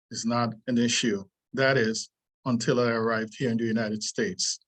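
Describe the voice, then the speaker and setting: An older man reads his own essay aloud. His voice sits at an ordinary middle pitch, and his delivery is earnest and deliberate, with pauses and lines picked up again. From Trinidad. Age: 60s. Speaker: martial arts teacher. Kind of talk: reading a prepared essay aloud.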